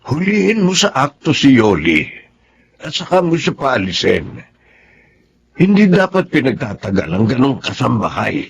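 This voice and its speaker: A man playing a concerned, advising uncle in a Filipino radio drama, husky, with a Filipino accent. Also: medium pitch, wildly swooping pitch, moderate pace, exaggerated voice. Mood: grave, advising. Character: concerned, advising uncle, a well-off older gentleman.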